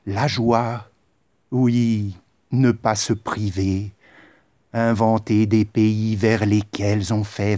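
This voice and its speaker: A man reciting poetry in a solemn, deliberate style, with measured phrasing and dramatic pauses. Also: on the low side, dark tone, dry recording, studio quality. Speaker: poet reciting.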